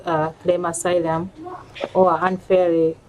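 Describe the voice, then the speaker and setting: A woman's voice: on the low side, flat delivery, unhurried, muffled recording. A woman with a Ugandan accent giving personal testimony as a panel speaker. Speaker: panel speaker and campaign group member. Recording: clear background noise, ambient sound.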